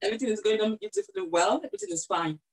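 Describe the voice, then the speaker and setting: A young Nigerian woman guest in a casual interview, cheerful and quick to laugh. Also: medium pitch, animated.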